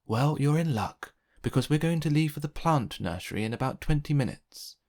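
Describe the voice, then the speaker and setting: A man voicing a patient, reassuring mother in a children's storybook, gently reasoning. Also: a little high, expressive, moderate pace, pitched above the performer's own voice. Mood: cheerful.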